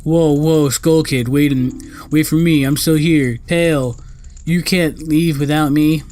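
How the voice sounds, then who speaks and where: A man's voice: a little high, steady tone, takes it slowly, darkish tone. A man voicing a bossy, impatient little girl fairy from a video game, scolding and demanding. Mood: pleading.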